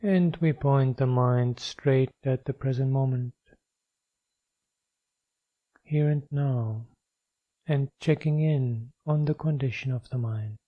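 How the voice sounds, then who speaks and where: A man leading a guided meditation as a teacher, speaking in a measured, instructional way with long pauses. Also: mid-range voice, lo-fi sound.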